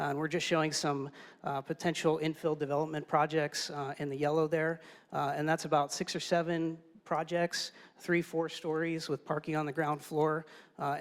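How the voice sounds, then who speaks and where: A man presenting an urban design strategy to an audience, with frequent fillers. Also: a little high. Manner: steady, lots of "uh" and "kind of". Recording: reverberant hall.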